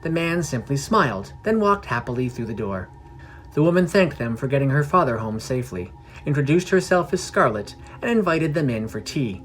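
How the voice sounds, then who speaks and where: A man narrates a fantasy adventure story in a scripted read, his voice at an average male pitch. Music plays underneath as he tells the tale for a podcast. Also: big pitch swings, moderate pace.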